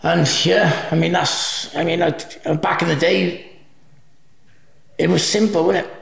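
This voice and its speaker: A man's voice: on the high side, fairly even intonation, a little slow, gravelly, fairly bright tone. A middle-aged man from Cardiff with a Welsh accent, a Welsh first-language speaker, talking casually as an interview guest in a rambling, easygoing way with many restarts. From Wales.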